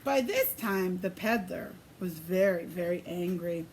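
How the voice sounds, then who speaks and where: A woman preschool teacher reading a picture book aloud in an expressive, sing-song way, pausing to put questions to young children. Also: fairly low pitch, a little hiss or hum.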